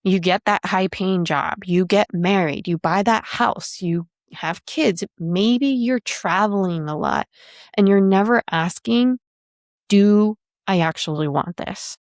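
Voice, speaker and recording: A woman's voice: mid-range voice, slow pace, no echo, studio quality. A woman in her thirties hosting a solo podcast as a career coach, talking in a reflective, explanatory way.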